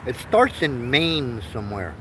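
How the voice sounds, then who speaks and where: A man in his fifties, born in Vietnam and raised in the United States, talks slowly and earnestly to his livestream viewers, pausing and repeating himself as he goes. His pitch sits in the middle range for a man, and faint outdoor noise hums behind him. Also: big pitch swings, sharp tone, rough recording. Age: 50s. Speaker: livestream host. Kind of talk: rambling casual livestream monologue.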